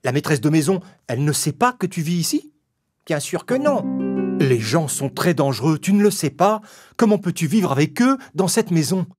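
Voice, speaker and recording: High, lively cartoon rat. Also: male voice, normal speed, husky, soft background music. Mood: debating. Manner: exclamatory, theatrical. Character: excitable male storybook rat, small and expressive. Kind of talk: children's cartoon fable acting.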